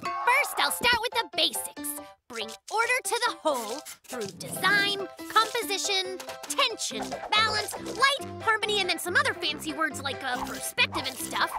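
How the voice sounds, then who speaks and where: An actor voicing an excitable, cocky little brown bear cub in a children's cartoon, boastful and theatrical in manner. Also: extremely high pitch, normal speed, over music, full character voice. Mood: boastful bluffing.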